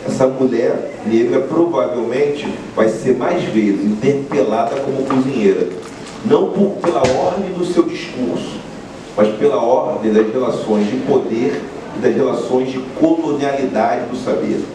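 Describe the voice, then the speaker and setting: A man's voice: mid-range voice, unhurried. A male philosophy professor giving an academic lecture, explaining step by step and posing rhetorical questions he then answers himself. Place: university lecture room. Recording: very echoey lecture hall from far off, clear background noise.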